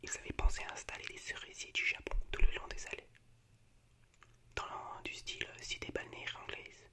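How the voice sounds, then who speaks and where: A man speaking in a breathy, unvoiced whisper as an ASMR host, unhurried and a little hesitant. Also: bright, forceful tone. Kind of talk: whispered ASMR, reciting film monologues.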